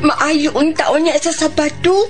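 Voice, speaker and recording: A woman with a Cebuano Filipino accent playing a sweet, deferential family member in a radio drama, gentle and girlish. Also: sits high, fairly even intonation, unhurried, soft background music. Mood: pleased, shy.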